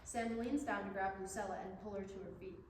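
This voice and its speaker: A woman's voice: medium pitch, fairly even intonation, a little fast, natural voice. A woman reading scripted stage directions as the narrator of a staged play reading. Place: indoor staged reading in an echoey room. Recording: echoey, distant room mic, clear noise.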